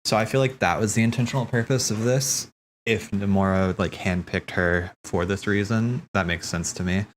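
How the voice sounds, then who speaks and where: A man's voice: fairly low pitch, steady tone, moderate pace, a little hiss or hum. A man hosting a livestream, talking in a casual, slangy, rambling way as he thinks aloud. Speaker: livestream host. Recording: background music.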